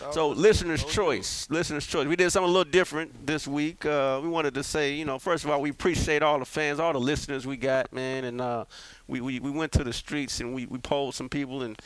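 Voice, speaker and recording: A man's voice: a little high, steady tone, a little fast, few pauses, dry recording, rough recording. A young man hosting a casual sports podcast, talking loosely in slang and repeating his words. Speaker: podcast host.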